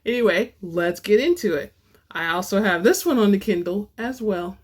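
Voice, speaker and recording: A woman hosting a dramatic book reading, moving between informal, expressive chat and narration. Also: mid-range voice, moderate pace, husky. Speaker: host and reader of book samples.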